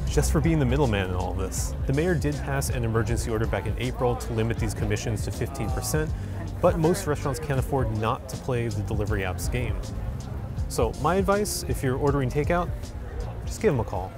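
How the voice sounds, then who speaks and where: A young man in his twenties talks straight to camera on a city street, upbeat and conversational, with a mid-range voice. A clear music bed plays steadily under his words. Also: medium pitch. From San Francisco. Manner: upbeat, conversational, direct address. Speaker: video host. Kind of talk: scripted explainer talk to camera.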